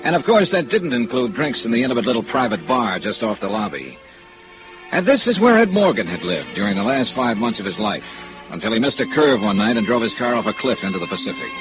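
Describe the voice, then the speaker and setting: A tinny vintage radio recording with music behind it carries a hard-boiled American investigator acted by a man. He is dry, sardonic and slangy, in 1950s noir style, with a highly animated delivery. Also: quick pace, lightly acted. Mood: wry, brisk. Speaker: lead actor of a detective serial.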